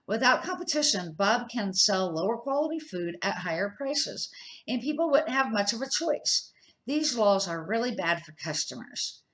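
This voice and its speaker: A woman's voice: mid-range voice, sing-song, normal speed, fairly bright tone. An older woman reading a children's storybook aloud as a grandmother would, an amateur who now and then stumbles and corrects herself.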